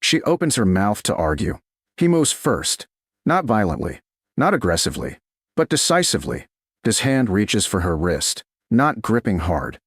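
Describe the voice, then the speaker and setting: A man reading scripted romance fiction as an audiobook narrator, in measured, dramatic short phrases. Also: lowish voice, very animated, slightly rough, no room sound, clean studio sound.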